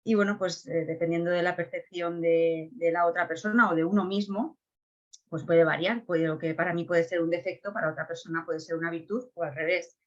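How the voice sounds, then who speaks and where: A woman's voice: on the low side, steady tone, brisk, muffled recording. A woman speaking as a guest in a casual panel discussion, hesitant, with many fillers and tag questions.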